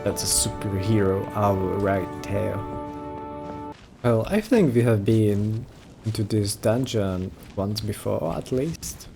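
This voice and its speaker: A man's voice: on the low side, lively intonation, slow pace, gravelly. A man doing casual Let's Play commentary, giving short offhand reactions as if half talking to himself. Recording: game music behind the voice.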